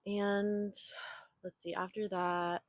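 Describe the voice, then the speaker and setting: A woman's voice: mid-range voice, level tone, takes it slowly, no echo. A young woman from Southern California chatting casually on her own podcast, rambling with lots of "like" and "um". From raised in Southern California. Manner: rambling, filler-heavy, frequent "like" and "um". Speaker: podcast host. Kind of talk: casual solo chat. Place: home near an airport.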